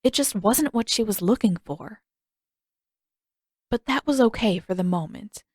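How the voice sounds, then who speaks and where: A woman's voice: medium pitch, expressive, a little slow, no room sound, studio quality. A woman reading a fiction story aloud as a scripted audiobook narration.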